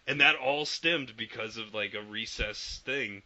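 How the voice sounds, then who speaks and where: Bright-voiced man in lo-fi podcast chat. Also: medium pitch, unhurried. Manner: animated, acts out remembered quotes, swears casually. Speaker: podcast co-host. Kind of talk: casual chat about video games. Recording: dull lo-fi podcast audio.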